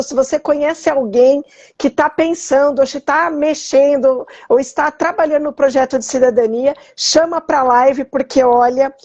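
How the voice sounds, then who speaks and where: A woman's voice: high-pitched, a little mellow, dry recording, muffled recording. A woman hosting a live stream, chatty and effusive, with lots of tag questions to her guest and the audience. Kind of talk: casual live-stream conversation.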